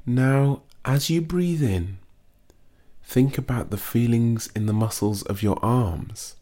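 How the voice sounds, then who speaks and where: A man reading a guided muscle relaxation script in a slow, soothing, gently instructive way. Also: mid-range voice.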